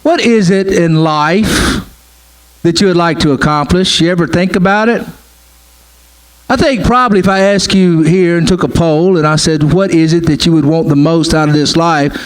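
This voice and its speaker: A man's voice: a little high, normal speed, poor audio. A middle-aged man preaching a sermon in a conversational way, repeating his phrases and often saying "man" and "right?". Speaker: pastor.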